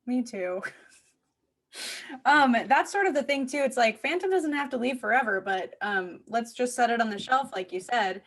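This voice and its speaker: A woman's voice: on the high side, lively intonation, moderate pace, a bit bright. A woman hosting a podcast in a casual, conversational style. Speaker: podcast host.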